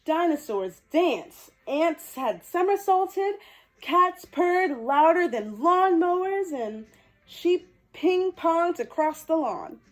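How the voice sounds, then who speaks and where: A woman hosting a children's storytime, reading and talking to young viewers in an expressive, sweet manner. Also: extremely high pitch, takes it slowly, low-quality recording. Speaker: children's storytime host dressed as a princess character. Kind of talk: animated children's picture-book reading and direct address to young viewers.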